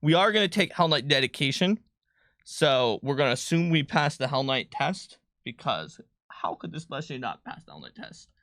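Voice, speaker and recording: Bright-voiced man thinking aloud on stream. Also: mid-range voice, sing-song, normal speed, dry recording, clean studio sound. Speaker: game designer hosting a livestream. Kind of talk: casual chat talking through a tabletop character build.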